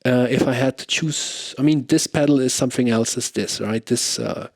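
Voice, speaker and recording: A man's voice: mid-range voice, moderate pace, gravelly, no echo. A man giving a casual product demo as a guitarist, thinking aloud in an informal way. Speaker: guitarist reviewing effects pedals.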